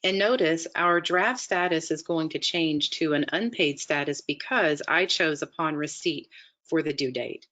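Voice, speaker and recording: A woman narrating an instructional software demonstration in a methodical, explanatory way, step by step. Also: low voice, a little slow. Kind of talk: instructional screen-share walkthrough narration.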